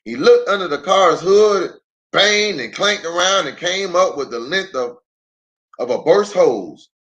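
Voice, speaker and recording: A man reads a children's story aloud as an expressive storyteller. His voice sits a little high and moves up and down freely, at a slow, measured pace. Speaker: pastor reading a storybook.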